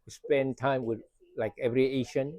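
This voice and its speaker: A middle-aged man from Uruguay with a Spanish accent, answering interview questions in loose, casual English, often pausing with "uh". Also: medium pitch, fairly even intonation, warm tone, no room sound. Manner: plain, loose English grammar, frequent uh. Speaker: restaurant co-owner. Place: restaurant.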